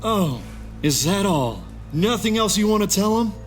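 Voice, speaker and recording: Smug male ex-guard villain, played by a male actor, taunting and unhurried, high for a man, game dub. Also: sing-song, faint music behind. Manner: mocking, drawn-out teasing delivery. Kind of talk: visual novel fan dub acting.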